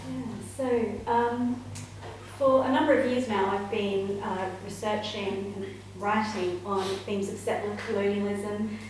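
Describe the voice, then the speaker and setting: A woman with an Australian accent speaking on a panel as an academic researcher, articulate and earnest with soft fillers. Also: mid-range voice, steady tone, a little slow. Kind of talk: panel discussion talk. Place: event room with audience. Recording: echoey room, mic far off, faint noise.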